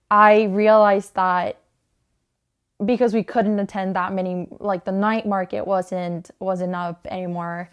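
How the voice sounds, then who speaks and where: Clear, smooth-voiced young woman from Honduras. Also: medium pitch. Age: 20s. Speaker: small clothing business owner, interviewee. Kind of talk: casual interview monologue about own work.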